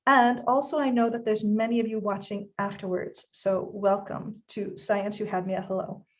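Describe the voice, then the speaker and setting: A woman's voice: mid-range voice, little pitch movement, moderate pace, lo-fi sound. A woman hosting a live-streamed event, greeting the audience directly in an upbeat way. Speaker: host.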